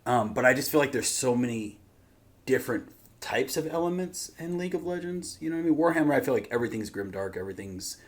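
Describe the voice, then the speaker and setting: A man hosting a reaction show with casual, conversational commentary, often trailing off quieter. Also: mid-range voice, animated, quick pace.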